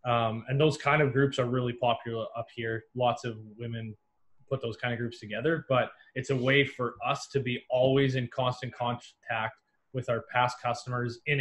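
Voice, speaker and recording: A man's voice: medium pitch, fairly bright tone. A young American man, a home builder, explaining casually as a panel guest on a video call. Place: small office with whiteboard wall. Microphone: computer mic on video call.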